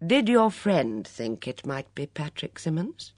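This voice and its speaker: Woman doing a measured, low male police inspector. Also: big pitch swings, darkish tone, no room sound, studio quality, full character voice. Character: male police inspector, calm, polite, methodical questioner.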